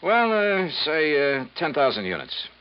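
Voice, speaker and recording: An actor playing a brisk, wily insurance investigator in a 1950s radio drama, punchy and wry. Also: male voice, on the high side, huge pitch swings. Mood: cagey. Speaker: lead of a detective radio serial. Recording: muffled, noisy 1950s radio recording.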